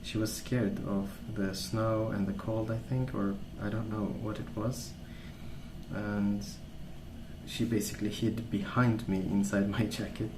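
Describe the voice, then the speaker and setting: A man speaking softly in a calm, gentle, unhurried way, rambling in a casual ASMR storytelling style. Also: on the low side. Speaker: ASMR video creator. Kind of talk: soft-spoken ASMR rambling. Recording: roomy sound with clear background noise.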